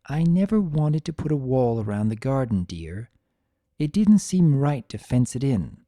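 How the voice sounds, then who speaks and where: A performer voicing an older mare pony, a patient mother who explains things calmly and sensibly in a storybook reading. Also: mid-range voice, measured pace, no echo, studio quality. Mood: explaining. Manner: calm, sensible, gently teaching.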